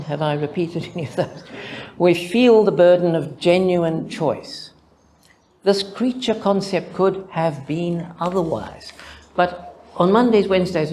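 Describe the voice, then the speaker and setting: An older man with a Zimbabwean accent, a mathematician reading a prepared conference paper in a deliberate, reflective way, building rhythmic lists. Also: fairly high pitch, a little mellow, a little hiss or hum.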